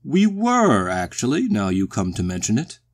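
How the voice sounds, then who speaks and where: Man putting on a booming, pompous walrus gentleman. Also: wildly swooping pitch, moderate pace. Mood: startled, disapproving. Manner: pompous, polite, hesitant, old-fashioned British phrasing. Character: big, genteel, embarrassed, slightly tipsy walrus-like gentleman. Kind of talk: acted storybook dialogue.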